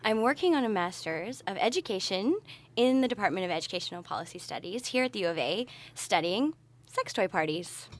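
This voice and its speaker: A woman's voice: mid-range voice, lively intonation, fairly quick. A young woman answers interview questions as a podcast guest, casual yet articulate and explanatory. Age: young adult. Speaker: podcast guest, graduate researcher.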